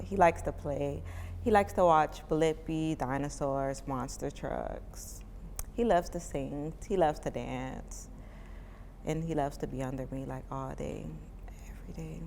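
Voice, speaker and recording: A young mother in her twenties from Miami answers interview questions in a loose, chatty way, dropping "like" into almost every sentence. Her voice sits low for a woman. A long reverb trails each phrase, with a faint hiss of noise underneath. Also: measured pace, gravelly. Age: 20s. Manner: chatty, many "like" fillers, relaxed informal speech. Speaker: parent giving a testimonial.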